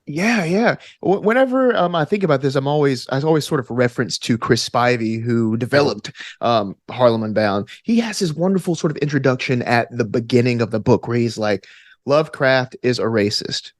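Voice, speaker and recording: A man talking casually as a podcast guest, animated, often restarting his sentences and chuckling as he goes. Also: mid-range voice, normal speed, dry recording, studio quality. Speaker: podcast guest, gamer and game master. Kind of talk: casual conversational interview.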